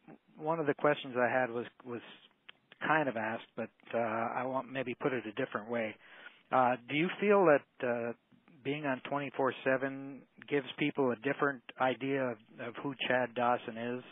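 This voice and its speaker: A man asking conversational questions as a newspaper reporter, halting and rephrasing as he goes. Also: medium pitch, fairly even intonation, no room sound. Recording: tinny narrow phone line.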